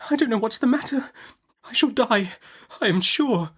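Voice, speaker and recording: A man's voice: very high-pitched, expressive, moderate pace, a bit bright, husky, pitched above the performer's own voice, full character voice. A man voicing a meek, tearful young widowed woman in a classic novel audiobook, with a faltering, plaintive and deferential manner. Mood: trembling, helpless.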